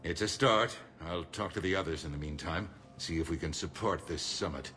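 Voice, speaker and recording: An actor playing a shrewd middle-aged politician in a video game, speaking in a measured, oratorical and emphatic way, grave and defiant. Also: male voice, deep voice, sharp tone. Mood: resolute. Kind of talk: cinematic game dialogue.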